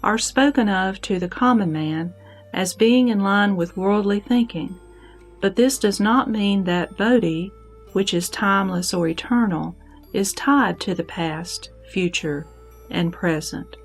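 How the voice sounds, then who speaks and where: A woman voices a heavenly goddess in a sutra audio drama, serene and sure of her wisdom. She speaks at a medium pitch, measured and instructive, with calm authority. Music plays behind her. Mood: calm, assured. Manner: measured, didactic, declamatory. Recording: background music under the voice.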